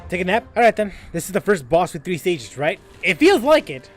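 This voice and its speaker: A man's voice: high-pitched, sing-song, fairly quick. A man giving casual, wry game commentary as a streamer, often talking to himself and joking. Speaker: gaming streamer. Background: game music.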